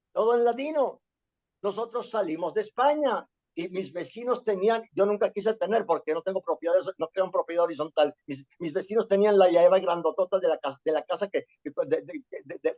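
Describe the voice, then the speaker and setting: High-voiced man lecturing, lo-fi. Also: a little mellow. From Venezuela. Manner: frequent questioning "¿sí?" and repetitions. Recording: dull lo-fi sound.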